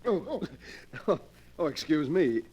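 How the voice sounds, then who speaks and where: A man's voice: fairly high pitch, big pitch swings, slow, dull audio, heavy background noise. An actor playing a polite, nervous young man who stammers apologetically, in vintage radio drama style. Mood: flustered, uneasy. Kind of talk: 1940s radio drama acting. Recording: old radio recording with background clamour.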